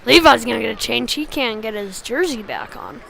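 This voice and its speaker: A woman's voice: on the high side, expressive, moderate pace, creaky, fairly bright tone, rough recording. A woman giving informal live play-by-play of a youth ice hockey game in short, clipped, colloquial phrases. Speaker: play-by-play commentator. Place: sports venue, ice rink. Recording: amateur livestream with faint background noise.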